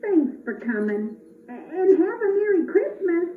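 A woman's voice: very high voice, sing-song, a little slow, heavily acted. A woman voicing an earnest, worried, kindly Christmas elf in a children's puppet show. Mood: businesslike, kindly. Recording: echoey, tinny, distant camera, faint noise.

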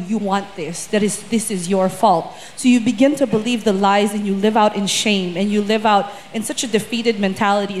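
A woman's voice: medium pitch, slight background noise, low-quality recording. Earnest woman sharing her testimony. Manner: earnest, repeats words when moved. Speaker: church staff member giving testimony. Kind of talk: personal testimony. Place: large church stage. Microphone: handheld mic.

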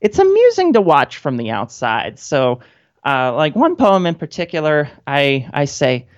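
A woman's voice: low-pitched, wildly swooping pitch, a little slow, no echo. A woman who is a guest on a radio interview, chatting casually with a wry manner. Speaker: guest, independent author and grad student. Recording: dull, lo-fi line.

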